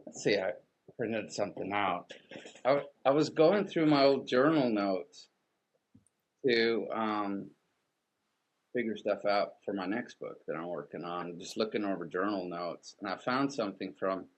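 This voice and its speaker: Gravelly Swedish man, thoughtful and halting. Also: fairly low pitch. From Sweden. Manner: thoughtful, halting, with many restarts and fillers. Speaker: artist and author, guest. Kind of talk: reflective interview conversation.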